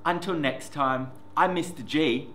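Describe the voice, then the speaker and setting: A man hosts story time, reading a picture book to young children in an expressive, sing-song way. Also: a little high, a little slow. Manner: expressive, sing-song, addressing small children with simple words. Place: indoor classroom reading corner. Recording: roomy echo with faint background noise.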